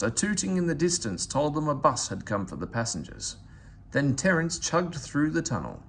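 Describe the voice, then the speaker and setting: A man narrating a children's storybook aloud in an expressive, scripted storytelling style. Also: mid-range voice, creaky, a little hiss or hum. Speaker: storybook reader.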